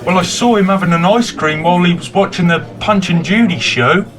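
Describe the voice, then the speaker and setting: A man plays a village bystander in a comic radio drama. He has a high male voice with clear music behind it. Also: a little fast, natural voice. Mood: helpful. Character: village man witness at a fete.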